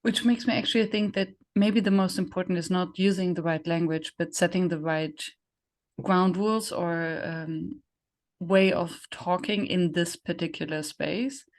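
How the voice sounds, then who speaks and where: A woman's voice: lowish voice, fairly even intonation, a little slow. A woman with a German accent, a native German speaker, chatting reflectively as an interview host and searching for her words. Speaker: podcast host and facilitator. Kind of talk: conversational interview chat.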